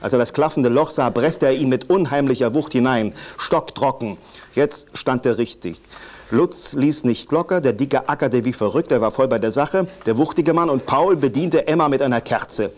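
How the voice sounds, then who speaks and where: A man with a mid-pitched voice reads literary prose aloud. The delivery is a scripted reading in the form of a football match report. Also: normal speed, darkish tone. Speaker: reader of a literary text.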